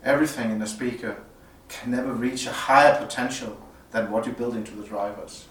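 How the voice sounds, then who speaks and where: Male product designer, explanatory and halting delivery, medium pitch, picked up at a distance with faint noise. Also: steady tone. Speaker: loudspeaker designer presenting at a product premiere. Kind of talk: semi-technical product presentation, unscripted. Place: indoor room at an audio show. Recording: roomy, distant mic, some background noise.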